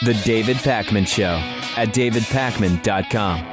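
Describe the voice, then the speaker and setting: A man's voice: lowish voice, unhurried. A man announcing a show ident in broadcast style, as its announcer. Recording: voice over loud guitar music. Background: loud guitar music.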